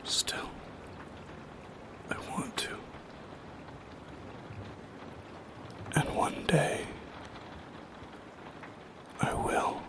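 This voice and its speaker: A man voicing a lonely, yearning shadow creature in a soft-spoken ASMR roleplay, whispering in a solemn, halting, poetic way with a breathy, creaky edge. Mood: hesitant, longing.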